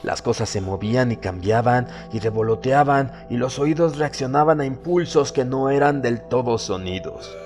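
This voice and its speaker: A man narrating a horror audiobook in a solemn, measured, dramatic storytelling manner. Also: mid-range voice, lively intonation, darkish tone. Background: background music.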